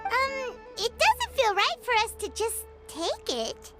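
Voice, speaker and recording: A woman voicing a small, eager, chatty childlike companion in a video game, with a squeaky voice. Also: wildly swooping pitch, takes it slowly, over music, heavily acted. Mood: friendly. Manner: bubbly, informal, eager.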